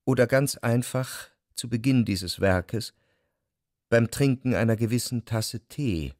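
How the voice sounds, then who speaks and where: An actor playing an introspective, melancholy, jealous gentleman narrator in a literary radio drama, speaking in a measured, articulate way. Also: medium pitch, no echo, studio quality, natural voice. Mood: pensive, rapt.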